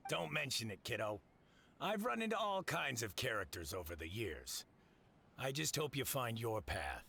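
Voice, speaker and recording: An actor playing a gruff but kindly middle-aged merchant boss in a video game, blunt and fatherly. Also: medium pitch, very animated, normal speed, sharp tone, full character voice. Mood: fatherly, reassuring.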